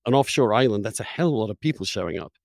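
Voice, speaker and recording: A man's voice: lowish voice, animated, rapid-fire, gravelly, no echo, clean studio sound. A man hosting a conversational interview, putting opinions and questions in an emphatic, probing way. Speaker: interviewer, host.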